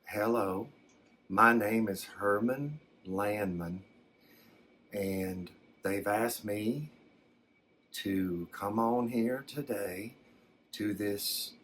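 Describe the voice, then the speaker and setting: A man's voice: on the low side, drawn-out words, long pauses, fairly bright tone, a little hiss or hum. A man playing a folksy older man with a Southern US accent, rambling hesitantly through his own tale as ASMR storytelling. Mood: folksy, reflective.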